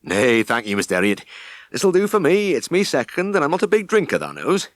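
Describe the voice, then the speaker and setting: A man's voice: on the high side, huge pitch swings, a little fast, no room sound, studio quality, voice pushed up. A man voicing a mild little Yorkshire farmhand in an audiobook, modest and absent, with a murmuring manner. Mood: meek, content.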